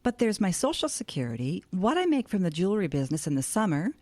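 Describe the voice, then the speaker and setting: A woman's voice: fairly low pitch, big pitch swings, fast, mellow, dry recording. An actress playing an airy, stubborn older hippie grandmother with a wry, theatrical manner in an audio comedy drama. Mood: cheerfully unbothered.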